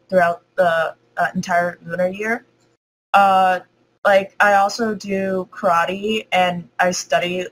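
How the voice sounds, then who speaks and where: Nervous teenage girl, lowish voice. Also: a little hiss or hum, rough recording. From United States. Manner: nervous, frequent "like" and "um". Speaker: student speaker. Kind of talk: video conference presentation.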